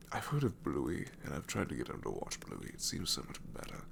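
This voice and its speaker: A man voicing a sassy male shadow demon in an ASMR roleplay, snarky and rambling with soft whispered asides. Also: a bass voice, creaky, background noise, exaggerated voice.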